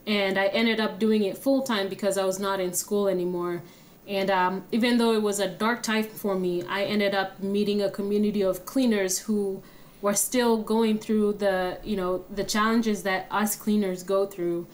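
A young woman from Kenya, a guest telling her own story in a friendly, steady way. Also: medium pitch, moderate pace. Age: young adult. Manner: friendly, steady, laughs easily. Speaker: guest, app founder.

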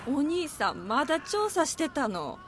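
A woman's voice: high-pitched, sing-song, unhurried, noisy background, heavily acted. An actress playing a friendly, sympathetic female neighbour in an audio drama. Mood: kindly.

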